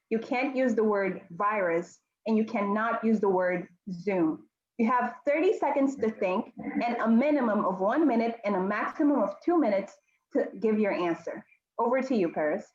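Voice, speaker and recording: A woman's voice: mid-range voice, moderate pace, darkish tone. A woman from Mozambique hosting an online club meeting over a video call, giving friendly instructions with frequent ums. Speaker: meeting host. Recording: echoey, dull audio.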